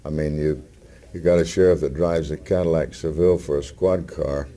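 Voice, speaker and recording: A man's voice: very deep voice, steady tone, moderate pace, warm tone. An actor playing a wry, disapproving man in a screen drama.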